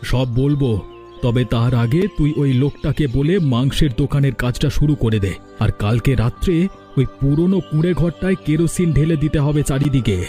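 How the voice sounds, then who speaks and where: A man playing a firm, protective village man in a cartoon drama, speaking in a measured, resolute way. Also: medium pitch, mellow, faint music behind, natural voice. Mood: determined, plotting. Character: resolute village man, protective avenging elder brother figure.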